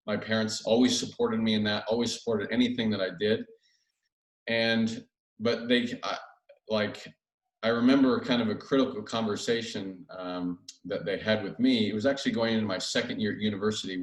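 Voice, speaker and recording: Man, fairly low voice, casual conversational storyteller, guest on a video call, echoey room, away from the mic. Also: fairly even intonation, moderate pace. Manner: casual, conversational, loosely phrased with many hedging asides. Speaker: guest speaker. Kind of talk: informal personal storytelling on an online call. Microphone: video call, away from the mouth. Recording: roomy, distant microphone on online call.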